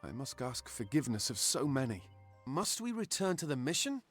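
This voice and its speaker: A man's voice: medium pitch, very animated, normal speed, faint music behind. A man voicing a naive, boastful young man in a video game, speaking in an earnest, impulsive, self-important way. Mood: ashamed, pleading. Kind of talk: video game character acting.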